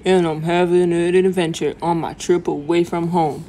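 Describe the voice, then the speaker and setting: A woman's voice: fairly low pitch, fairly even intonation, normal speed, dark tone, slight background noise, close to an everyday voice. A woman voicing an earnest travel-loving fish in rhythmic rhyme for a children's storybook. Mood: eager. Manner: earnest, rhythmic rhyming delivery.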